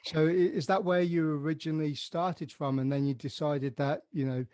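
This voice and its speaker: A man's voice: fairly high pitch, fairly even intonation, normal speed, darkish tone, dry recording. A man hosting a podcast, asking long, rambling questions with many restarts. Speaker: podcast host. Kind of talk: podcast interview.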